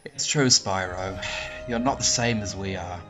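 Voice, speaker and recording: A man voicing a solemn dragonfly father, speaking gravely and in a measured way over faint music. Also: deep voice.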